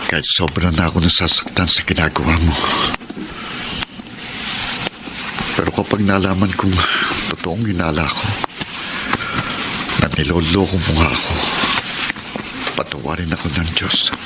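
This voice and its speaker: An actor playing a hurt, suspicious husband in a Filipino radio drama, earnest and aggrieved. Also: male voice, deep voice, fairly even intonation, normal speed, pauses often, heavy background noise, natural voice. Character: hurt, suspicious husband confiding his marital troubles.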